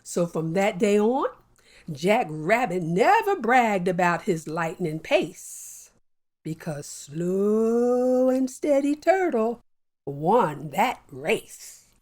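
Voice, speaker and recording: A woman hosting a children's story show, telling a folktale with a lively, playful delivery and drawn-out phrasing. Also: medium pitch. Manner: warm animated storyteller with slow deliberate pacing. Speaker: storyteller host. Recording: soft music bed behind.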